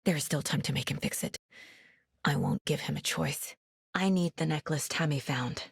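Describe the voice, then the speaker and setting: A low-voiced, determined female FBI agent. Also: creaky, slightly rough, no room sound, studio quality, heavily acted. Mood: pensive, serious. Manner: measured, serious, focused. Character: determined, level-headed adult female FBI agent investigator. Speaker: video game voice actress. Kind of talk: naturalistic dramatic game dialogue and inner monologue.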